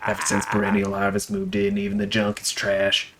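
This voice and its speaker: A man's voice: lowish voice, sing-song, fairly quick, heavy background noise. A man voicing a grumpy, sly old junk scavenger in a game, with folksy grumbling.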